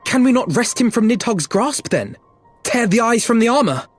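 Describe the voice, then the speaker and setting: A man voicing an earnest, noble young gentleman in a game cutscene, speaking formally with archaic phrasing. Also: very high voice, very animated, normal speed, breathy edge, soft background music, exaggerated voice. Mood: determined. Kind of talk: video game cutscene acting.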